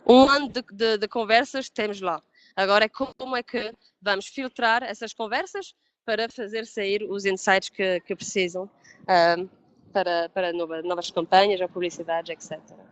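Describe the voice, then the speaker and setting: A woman from Portugal with a European Portuguese accent, presenting software on a webinar call with frequent restarts and easy laughter. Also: mid-range voice, fairly even intonation, moderate pace, no echo. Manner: hesitant, many restarts, laughs easily. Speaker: pre-sales engineer presenting software. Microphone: video call.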